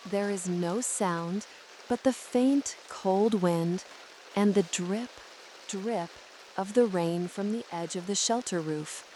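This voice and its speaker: A woman narrates a guided sleep visualization at a very unhurried pace, with a medium-pitched voice. She phrases each thought deliberately and soothingly. A faint hiss of rain runs behind her words. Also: dark tone, low-quality recording.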